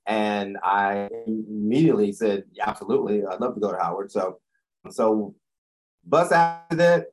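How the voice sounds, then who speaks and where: A man in his sixties with a deep voice, telling a long personal story as an interview guest, often correcting himself as he goes. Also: moderate pace, darkish tone, rough recording. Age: 60s. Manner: rambling, self-correcting storyteller.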